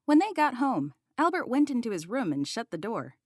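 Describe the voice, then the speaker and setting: A woman's voice: a little high, big pitch swings, moderate pace, no echo, clean studio sound. A woman narrating a children's picture book as a read-aloud.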